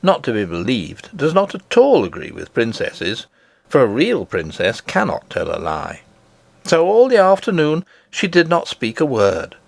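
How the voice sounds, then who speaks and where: A man reading a children's storybook aloud as expressive scripted narration. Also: mid-range voice, a little slow, gravelly, no room sound. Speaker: audiobook reader.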